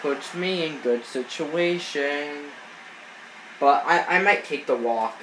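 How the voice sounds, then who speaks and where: A man's voice: a little high, slow, fairly bright tone. A man with a cold, sniffling as he chats through baseball video game footage, rambling with lots of fillers and restarts. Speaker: gaming channel host. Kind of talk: casual gameplay commentary. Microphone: off-screen voice-over on gameplay footage. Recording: echoey room with faint noise.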